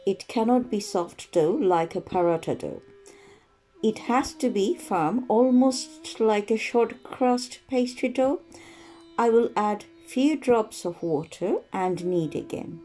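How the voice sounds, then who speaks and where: A woman from Bangladesh hosting a home cooking show, explaining each step slowly and carefully. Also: a little high, mellow.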